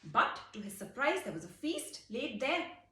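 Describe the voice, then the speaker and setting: A woman telling a folktale for children in an expressive way, explaining as she goes. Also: high voice, moderate pace, echoey room, distant mic. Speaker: storyteller. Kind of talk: animated storytelling of a folktale for children.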